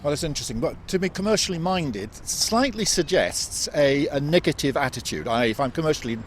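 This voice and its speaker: A man in his sixties, a retired academic, giving articulate, measured opinions in a street interview. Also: on the high side, animated, a little hiss or hum. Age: 60s. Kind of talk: street vox pop interview. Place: outdoors on a street.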